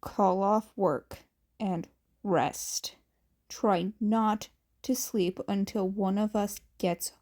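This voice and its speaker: A stern adult man, played by a woman, pitched down from the reader's own voice. He is gruff and blunt, handing out terse orders in a flat, commanding way, as in an audiobook.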